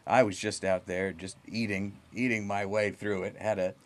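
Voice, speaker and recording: A man with a fairly low voice hosts a podcast interview. He talks in a folksy, rambling manner with a comic bent, often doubling back on his words. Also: little pitch movement, normal speed. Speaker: podcast host.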